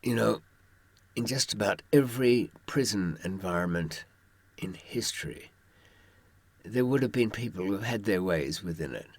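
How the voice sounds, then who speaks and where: Man, low raspy voice, very dry close sound, wry humour, informal storytelling monologue, asides to listener. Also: animated, moderate pace, dry recording. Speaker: author giving prison survival advice from experience.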